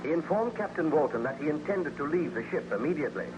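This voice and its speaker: A man's voice: a little high, expressive, quick pace. Old-time radio announcer man over music. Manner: formal, dramatic storytelling. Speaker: announcer and narrator. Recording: dull old radio serial recording.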